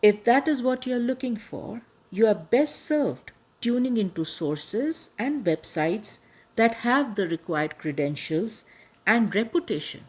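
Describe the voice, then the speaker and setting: A woman hosting a podcast, giving a measured, earnest scripted introduction with emphatic stresses. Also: fairly high pitch, very animated, breathy edge. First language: not Urdu. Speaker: podcast host.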